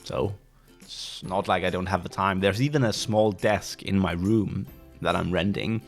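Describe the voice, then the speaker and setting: A young Danish man with a low voice talks casually on his own podcast. He often stops mid-sentence and restarts while he hunts for the right word. Soft music plays faintly underneath. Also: vocal fry. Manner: pauses often to search for words, many restarts. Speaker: podcast host. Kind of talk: casual solo monologue.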